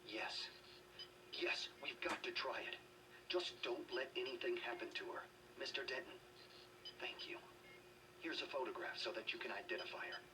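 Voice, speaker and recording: A man's voice: mid-range voice, moderate pace, long pauses, natural voice. Grave, anguished actor as scientist father. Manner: grave, earnest. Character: anguished middle-aged male scientist leader, worried protective father. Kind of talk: dramatic video game voice acting. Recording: noisy, distant-sounding game capture.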